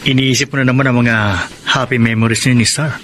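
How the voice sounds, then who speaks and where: Clear background noise surrounds a medium-pitched Filipino man. An actor plays a supportive young buddy who teases and advises in radio drama style. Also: flat delivery, fast talker, lightly acted. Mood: friendly, teasing. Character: Mark, young man, teasing, encouraging best buddy.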